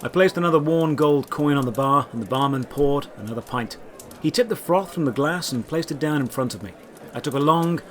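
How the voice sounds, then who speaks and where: A man narrating a scripted fantasy adventure in the first person, with deliberate, theatrical storytelling delivery. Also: on the high side, a bit bright, slight background noise. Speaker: narrator and writer of the series.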